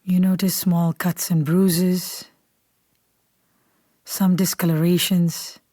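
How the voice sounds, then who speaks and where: Mellow, soothing woman guiding a meditation. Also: fairly low pitch, no room sound, studio quality. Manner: calm, unhurried, soothing. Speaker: meditation guide. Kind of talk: guided meditation, slow scripted instruction.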